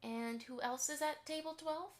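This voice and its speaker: Woman as a gushing pony mom, highish voice. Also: moderate pace, close to an everyday voice. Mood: polite, curious. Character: chatty, eager-to-please pony mother, a mystery novelist. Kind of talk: fan radio play acting.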